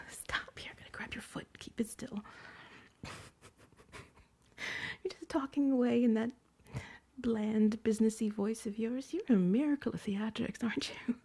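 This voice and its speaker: A woman murmuring in a very airy, breathy whisper, her voice mostly unvoiced and kept low. She talks in a teasing, playful way, as if hiding and afraid of being overheard, in an intimate roleplay. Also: a little mellow.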